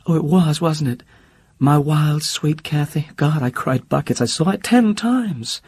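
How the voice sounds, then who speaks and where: A man voicing a brash, theatrical young woman who talks in a teasing, sharp-tongued way, for an audiobook. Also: on the high side, a little slow, slightly rough, pitched above the performer's own voice. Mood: gushing. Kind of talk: audiobook character voice.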